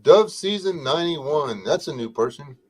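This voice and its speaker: A man's voice: fairly high pitch, animated, breathy edge, poor audio. Middle-aged man with a soothing voice. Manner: joking storyteller, laid-back with filler pauses. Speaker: podcast co-host. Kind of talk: casual livestream chat.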